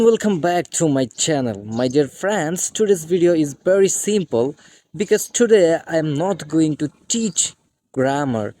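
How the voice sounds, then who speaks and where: Male English teacher from Bangladesh, Bengali accent, unhurried and mellow, keeps calling viewers dear friends. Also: a little high, no room sound. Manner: repeated "my dear friends" addresses. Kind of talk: scripted English lesson to camera.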